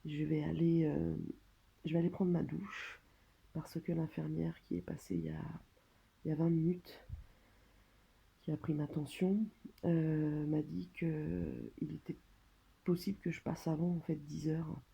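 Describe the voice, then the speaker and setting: A French woman speaking casually and hesitantly, as in a personal video diary, pausing and trailing off between phrases. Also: low-pitched, flat delivery, gravelly, dark tone, slightly rough, lo-fi sound. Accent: French, from France. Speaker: vlogger documenting own surgery stay. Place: hospital room.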